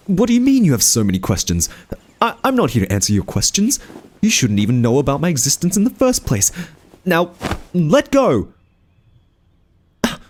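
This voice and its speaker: Guardian angel for ASMR audio drama, male actor: low male voice, wildly swinging intonation, fussy, rule-bound, protective, theatrically huffy. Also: a little mellow. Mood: flustered, indignant. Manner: scolding, flustered, huffy, theatrical. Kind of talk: ASMR roleplay audio drama addressed to the listener.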